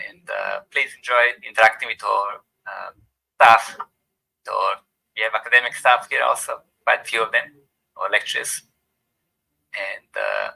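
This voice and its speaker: Older Croatian-accented man, low voice, very bright tone, halting thank-you speech. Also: vocal fry, low-quality recording. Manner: halting, repeats words, warm and gracious. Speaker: speaker giving vote of thanks. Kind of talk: formal closing remarks at an event.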